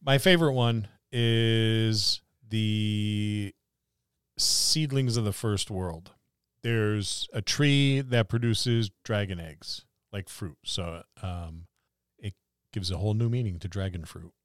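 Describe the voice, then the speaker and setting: A man co-hosts a casual gaming podcast in a smooth, clean voice. He muses and jokes as he goes. The sound is dry, with hardly any room on it. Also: on the low side, takes it slowly, no room sound. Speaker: podcast co-host. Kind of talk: casual tabletop gaming discussion.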